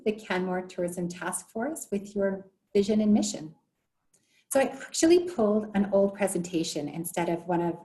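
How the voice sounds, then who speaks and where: A woman with a quiet voice presenting as a town community services manager over a video call. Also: lowish voice, low-quality recording. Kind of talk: online meeting presentation.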